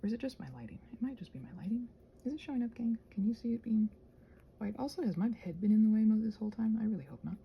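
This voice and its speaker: A woman chatting casually in a rambling ASMR style, with a soft breathy voice that often drops into a whisper, questioning herself and thinking out loud. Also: a little high, animated, very fast, dull audio, slight background noise. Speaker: ASMR video creator and host.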